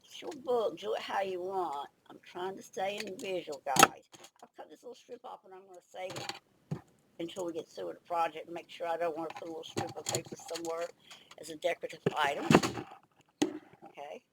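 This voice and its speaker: An older woman with a slight head cold and a raspy, gravelly voice, chatting casually and thinking aloud as she demonstrates a craft project. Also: lowish voice, a little fast, heavy background noise. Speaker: craft video host.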